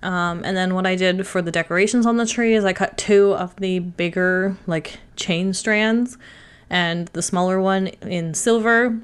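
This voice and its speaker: A young woman talks through a crafting tutorial in a relaxed, chatty way, with plenty of filler words. She sounds stuffed up from a cold. Her voice sits low and comes across smooth and clear. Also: a little slow. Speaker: card-making channel host. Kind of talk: casual crafting tutorial voice-over.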